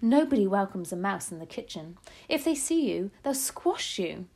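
A woman's voice: medium pitch, very animated, normal speed, a little mellow. A woman voicing an elderly grandmother who is loving, resourceful and emphatic, in a storybook reading. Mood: urgent, caring.

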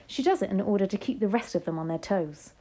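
Teacher lady doing a fired-up old granny, raised pitch, pushy questions. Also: measured pace. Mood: excited. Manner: urgent, excited questioning. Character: elderly grandmother, eager and commanding.

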